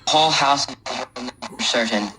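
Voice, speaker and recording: A man's voice: medium pitch, extremely slow. A man preaching on the radio in a religious style, heard through a receiver. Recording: radio broadcast picked up, music over it.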